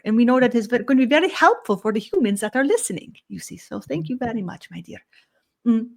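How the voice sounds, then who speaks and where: A woman voicing a benevolent collective spirit guide who speaks as "we", humming "mm" and trailing off into soft murmured "you see". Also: on the high side, natural voice. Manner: measured, gently instructive. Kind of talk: channeled spiritual session.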